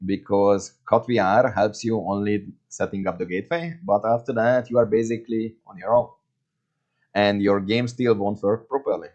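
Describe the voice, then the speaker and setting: A man's voice: fairly low pitch, fairly even intonation, normal speed, darkish tone, lo-fi sound. A man from Hungary with a Hungarian accent, presenting a scripted video review in English as a non-native speaker. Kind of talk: scripted product review to camera.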